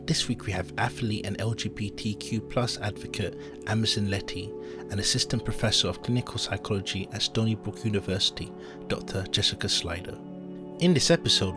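A man co-hosting a podcast, reading the scripted episode introduction. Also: lowish voice. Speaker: co-host, filmmaker.

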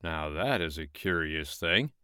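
A man's voice: extremely low pitch, lively intonation, measured pace, sharp tone, clean tone, no room sound, clean studio sound, pitched below the performer's own voice, heavily acted. A man doing a mild, easygoing woodchopper. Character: simple, easygoing peasant woodchopper.

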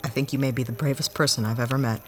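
An actress playing a guarded, suspicious adventurer with a caring side in an audio drama. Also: female voice, rumbling low, steady tone, racing. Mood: gentle, concerned. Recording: faint hiss behind the voice.

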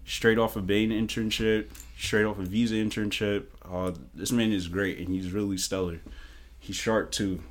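A man's voice: lowish voice, flat delivery, moderate pace, few pauses. A young man hosting a casual podcast, talking in a hyped, joking, slangy way. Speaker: podcast host.

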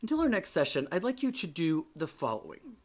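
A man voicing a concerned woman psychiatrist who speaks in a measured, coaxing way. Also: a little high, wildly swooping pitch. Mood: instructive.

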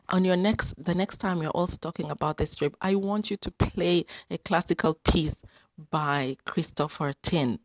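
A woman's voice: low voice, dry recording. Woman, encouraging group-chat speaker, emphatic and informal; dry, muffled lo-fi sound. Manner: emphatic, repeats words for emphasis. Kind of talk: casual, encouraging talk.

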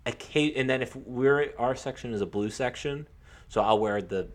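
A young American man co-hosting a podcast, talking casually about sports with a halting, repetitive storytelling manner. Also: medium pitch. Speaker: podcast co-host.

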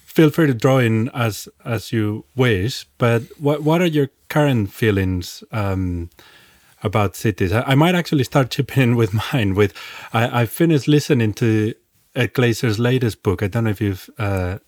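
A man's voice: mid-range voice, normal speed, a little mellow, no echo, clean studio sound. A man hosting a podcast casually, often restarting and repeating words. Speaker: podcast host.

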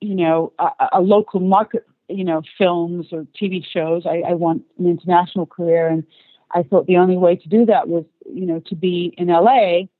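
A woman's voice: on the low side, steady tone, normal speed, no echo. A woman in her forties, raised in Australia, giving long, chatty answers with light laughs in a podcast interview. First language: English. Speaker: actress guest. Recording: tinny phone-like line.

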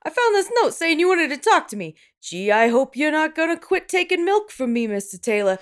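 A woman's voice: sits high, big pitch swings, a little fast. A woman playing a timid, apologetic milkman in a horror radio play, talking in a hesitant, stammering, folksy way. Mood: sheepish. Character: timid, apologetic male milk delivery worker. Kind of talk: 1930s horror radio play table read.

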